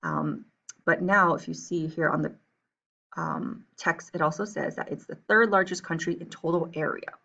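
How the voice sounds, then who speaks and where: A woman's voice: sits low, steady tone, moderate pace, creaky, pushed and bright. A woman from Taiwan teaching an online lesson, patient and reassuring, often checking in with "okay?" and "right?". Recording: dull lo-fi audio.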